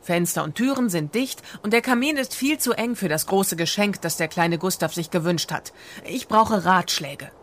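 A woman's voice: lowish voice, moderate pace, lightly acted. A woman playing Santa's harried, pragmatic and grumbling wife in a fairy-tale radio play. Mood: businesslike.

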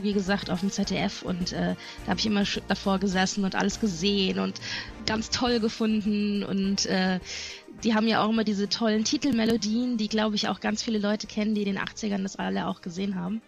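A woman's voice: medium pitch, steady tone, brisk, vocal fry, faint music behind. A middle-aged woman, chatting casually and rambling as she reminisces on a podcast panel.

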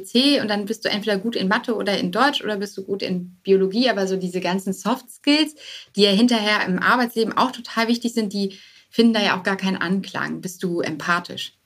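A woman's voice: medium pitch, a bit bright. Female start-up founder as podcast guest, mid-range voice, informal and conversational, thinks aloud, some English jargon. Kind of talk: casual conversational interview.

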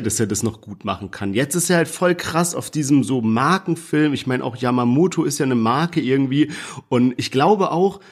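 A young man co-hosting a casual podcast chat, hedging his views in a slangy, easygoing way. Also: medium pitch. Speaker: podcast co-host. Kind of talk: casual chat about rap releases.

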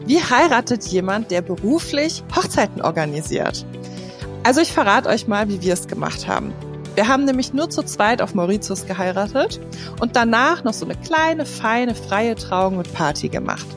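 A woman in her thirties hosting a podcast, chatty and lively, laughing often as she talks with her guest. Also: medium pitch, moderate pace, music in the background. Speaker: podcast host, wedding planner. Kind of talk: podcast interview, casual chat. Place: home.

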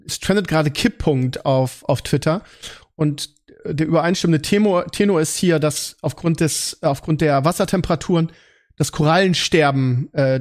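A man with a fairly high voice chats as a podcast co-host. His way of talking is casual, colloquial and blunt.

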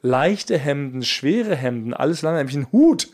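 A man's voice: a little high, big pitch swings, moderate pace, darkish tone. A man from Germany co-hosting a podcast in casual comic chat, playfully exaggerating and using colloquial slang. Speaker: podcast co-host.

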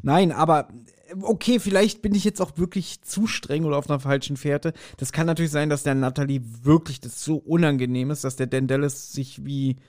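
Higher-pitched man in casual podcast chat. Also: steady tone, fairly quick, a little mellow. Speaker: podcast co-host. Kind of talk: casual chat, speculating about an audio drama.

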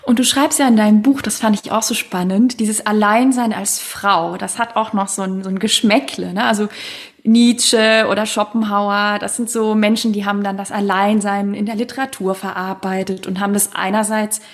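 A woman's voice: medium pitch, normal speed. Engaged woman podcast host from Sweden. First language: Swedish. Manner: engaged, personal, lively storytelling. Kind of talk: conversational interview.

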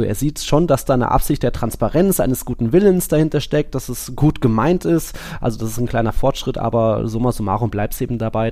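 A man with a gravelly voice, co-hosting a casual football podcast and thinking aloud in a rambling way. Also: mid-range voice, dark tone. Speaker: podcast co-host.

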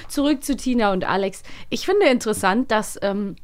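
A woman's voice: mid-range voice, expressive, moderate pace, slight background noise. A woman hosting a casual studio podcast interview, attentive and affirming in conversation. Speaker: podcast host.

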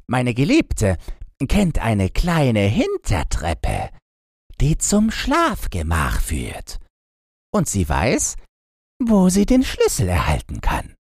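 A male forest crow from a storybook, pitched very high with a husky edge. The bird is kindly and eager to help. He coaxes and reassures as he talks. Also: huge pitch swings, slow, dry recording, clean studio sound, full character voice. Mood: reassuring, eager.

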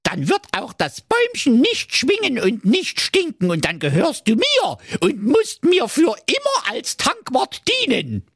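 A man's voice: very high-pitched, wildly swooping pitch, measured pace, hardly any pauses, fairly bright tone, husky, no echo, studio quality, voice pushed up, exaggerated voice. A man voicing a grumpy, crafty evil male dwarf in a comic fairy tale, grumbling and sly.

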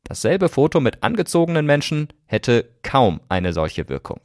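Male podcast host with lively, varied intonation. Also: medium pitch, normal speed. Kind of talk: scripted narration with conversational moments.

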